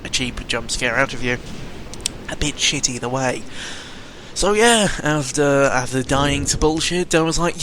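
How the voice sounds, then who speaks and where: British young man rambling over noticeable background noise. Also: medium pitch. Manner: sweary, informal, rambling. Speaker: gaming vlogger. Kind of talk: casual rambling audio log. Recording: clearly noisy amateur recording with ambient sound.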